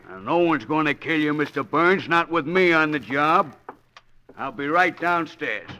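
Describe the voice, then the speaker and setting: Bright-voiced, protective radio cop. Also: male voice, a little high, expressive, a little slow, muffled recording. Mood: reassuring, firm. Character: protective, confident male police officer, plainspoken. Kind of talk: old-time radio crime drama acting. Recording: noisy vintage radio recording.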